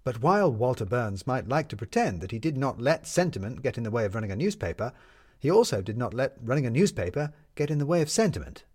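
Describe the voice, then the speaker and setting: A man tells a written story as its narrator. His voice is medium in pitch. The phrasing is lyrical and measured, in a storyteller's manner. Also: big pitch swings, darkish tone.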